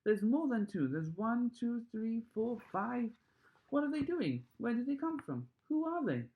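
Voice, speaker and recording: A man voicing astonished, excited children in a storybook reading, exclaiming in short urgent bursts. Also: very high-pitched, unhurried, phone-quality sound, voice pushed up, exaggerated voice. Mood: amazed, excited. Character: excited shrieking children spotting something astonishing, high and breathless.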